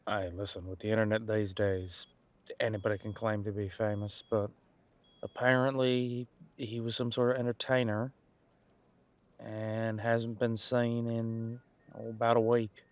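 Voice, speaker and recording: A man's voice: on the low side, little pitch movement, measured pace, close to an everyday voice. A man playing a casual, wry police detective in an audio roleplay, musing in a conversational, hesitant way. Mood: explaining, thoughtful.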